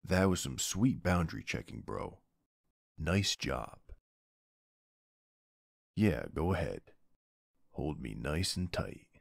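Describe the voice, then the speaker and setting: A man's voice: extremely low pitch, sing-song, slow, gravelly, long pauses, no room sound, clean studio sound, heavily acted. A man playing a flirty, laid-back gym bro in an ASMR roleplay, drawling and teasing in an easygoing way.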